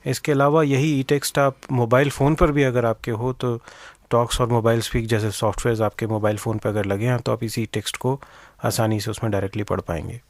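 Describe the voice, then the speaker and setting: A man's voice: mid-range voice, fairly even intonation, brisk, no room sound. A man with an Indian accent giving methodical explanatory interview answers, mixing English phrases into his speech. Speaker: interview guest, organisation director.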